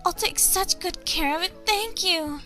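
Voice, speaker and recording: A woman voicing an eager young female pony princess from a storybook, earnest and excitable, given to exclaiming. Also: very high-pitched, a little slow, faint music behind, pitched above the performer's own voice, exaggerated voice. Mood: delighted, surprised.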